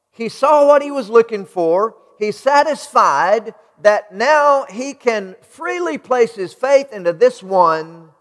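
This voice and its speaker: A middle-aged American man preaching a sermon, emphatic, putting rhetorical questions to his congregation. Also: sits high. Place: large church sanctuary, indoors. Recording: reverberant church hall.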